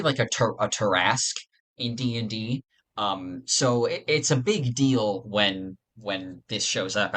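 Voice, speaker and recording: Male panelist, lowish pitch, bright tone, casual fan talk that gropes for words. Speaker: podcast panelist.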